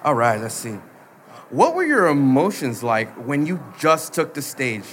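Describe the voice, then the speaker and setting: A man hosting a podcast interview, chatty and easygoing, laughing often and quoting people in lively voices. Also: fairly high pitch, unhurried, slight background noise. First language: English. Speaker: podcast host. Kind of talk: casual interview. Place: busy convention floor.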